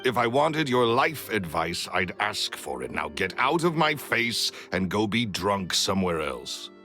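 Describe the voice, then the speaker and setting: A middle-aged former magician for a video game, low and booming, with big swings in pitch. He is curt, domineering and short of patience. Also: big pitch swings, measured pace, bright, forceful tone, soft background music, heavily acted. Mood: angry. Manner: curt, domineering, hard-nosed. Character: gruff, impatient, foul-mouthed former stage magician in his late thirties.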